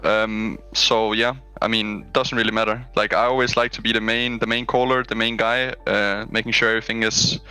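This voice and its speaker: Young man with a bright voice on a headset. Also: on the low side, rough recording. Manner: relaxed, filler-heavy, frank. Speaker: esports player. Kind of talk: post-match interview. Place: small room at home. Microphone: headset on a webcam call.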